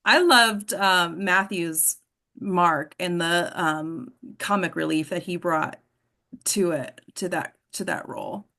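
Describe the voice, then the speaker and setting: A woman's voice: lowish voice, slow pace, vocal fry, pushed and bright. A woman hosting an informal workshop discussion as an acting coach, instructive and often checking in with the group. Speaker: host and acting coach leading a table read discussion.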